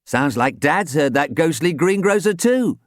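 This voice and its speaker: A man putting on a high, bouncy voice for an eager schoolboy footballer in a children's audiobook. He sounds excited and boastful, with a dry, close recording. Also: a little slow, no echo. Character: excitable young boy footballer, cheeky.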